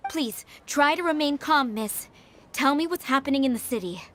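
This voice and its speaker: An actress playing a composed, enigmatic woman in a video game, speaking in a measured, solemn and persuasive way. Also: high-pitched, expressive, fairly bright tone, a little hiss or hum. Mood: calm, questioning. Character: composed, enigmatic woman preaching judgment and atonement. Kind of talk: dramatic video game voice acting.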